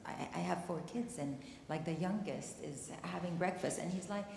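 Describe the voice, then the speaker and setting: Woman, lowish voice, heartfelt personal account, booming church hall with long reverb. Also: normal speed, vocal fry, darkish tone. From Palestine. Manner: emotional, near tears, earnest. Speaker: panel speaker. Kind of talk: personal story at a community discussion. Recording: echoey hall, faint noise.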